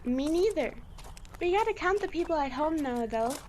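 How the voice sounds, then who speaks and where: A woman's voice: sits high, expressive, normal speed, darkish tone, a little hiss or hum. A woman playing an eager, loyal young monster boy in an audio drama, talking in earnest slang. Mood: determined.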